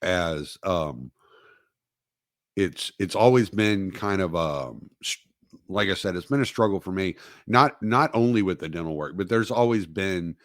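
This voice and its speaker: A man hosting his own podcast, talking alone on a livestream in a halting way with many fillers and restarts, recovering from dental work. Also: low-pitched, expressive, fairly bright tone, dry recording, studio quality. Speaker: podcast host. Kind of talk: solo livestream talk.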